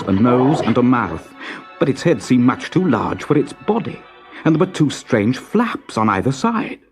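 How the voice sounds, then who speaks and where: A man narrating a children's story in a measured, dramatic, expressive manner. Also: medium pitch.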